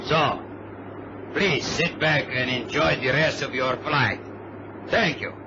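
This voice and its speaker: Courteous, menacing hijacker. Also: male voice, mid-range voice, slow. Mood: polite menace. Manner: courteous, coolly threatening. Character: polite, menacing Latin male hijacker with a gun. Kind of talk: radio drama acting. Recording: noisy, dull vintage radio recording.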